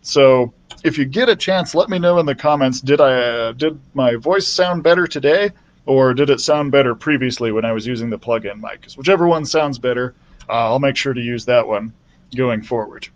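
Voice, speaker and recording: A man's voice: medium pitch, normal speed, muffled recording. A man reading a children's book aloud as a librarian storyteller, friendly and chatty, into a built-in laptop mic.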